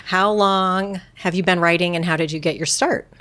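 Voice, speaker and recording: A woman's voice: fairly low pitch, fairly even intonation, a bit bright. A woman hosting a library podcast from a basement studio, asking questions and reacting encouragingly. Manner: encouraging, quick to laugh with short reactions. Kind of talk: friendly podcast interview.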